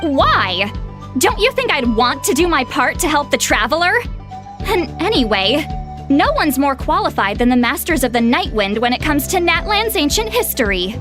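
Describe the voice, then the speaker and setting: A woman's voice: high-pitched, very animated, moderate pace, over music. An actress voicing a proud, scholarly young woman in a video game, explaining things in an articulate, self-assured way. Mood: indignant, proud. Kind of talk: video game dialogue, expository storytelling.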